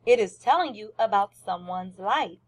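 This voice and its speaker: A woman's voice: medium pitch, expressive, normal speed. A woman teacher giving a lesson to young children, explaining simply, repeating points and asking questions. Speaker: first-grade teacher.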